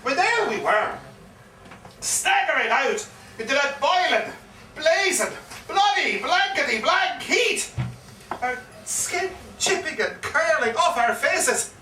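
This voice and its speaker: An actor playing an excitable Irish pub yarn-spinner, theatrically repeating himself with relish in a live comic stage monologue. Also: male voice, very high voice, takes it slowly, full character voice. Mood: dramatic, relishing. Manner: theatrical, repeats phrases with relish and dramatic emphasis. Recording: echoey live pub recording, distant mic, faint noise.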